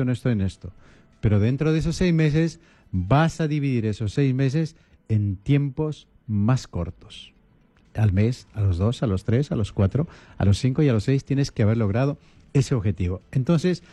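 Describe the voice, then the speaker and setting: A man, a priest, giving calm, repetitive advice on a radio talk program. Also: mid-range voice, moderate pace, no echo. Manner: calm, repetitive listing, coaching tone.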